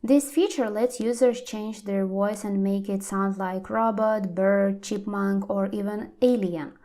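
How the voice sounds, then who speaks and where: A woman's voice: medium pitch, fairly even intonation, a little slow, dark tone. A woman hosting a how-to tutorial, reading scripted instructions step by step. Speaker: tutorial host.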